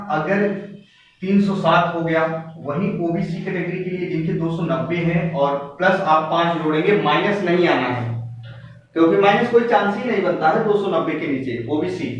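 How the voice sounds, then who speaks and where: A man with a fairly high voice teaches students in an informal, insistent style, repeating his points. He sounds set back from the microphone in a heavily echoing room. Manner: emphatic, repetitive, directly addressing listeners. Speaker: exam coaching teacher. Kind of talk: informal exam-update lesson for students. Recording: very echoey room, distant mic, dull, faint noise.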